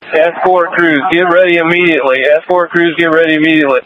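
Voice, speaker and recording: A man's voice: a little high, fairly even intonation, fast, a loud crowd. A man, an emergency responder, speaking in clipped phrases over radio. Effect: radio transmission. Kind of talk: radio traffic. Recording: muffled, faintly noisy radio.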